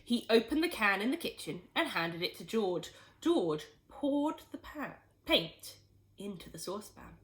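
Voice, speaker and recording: A woman reading a children's storybook aloud in expressive, scripted narration. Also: mid-range voice, measured pace. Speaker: storybook reader.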